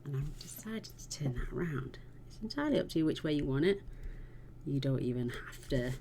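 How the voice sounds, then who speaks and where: A woman talks through a card-making tutorial in a chatty, homely way, her low voice gravelly and a little croaky. She is recorded at home with clear background noise. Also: lively intonation, a little fast. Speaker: card-making channel host. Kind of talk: casual crafting tutorial.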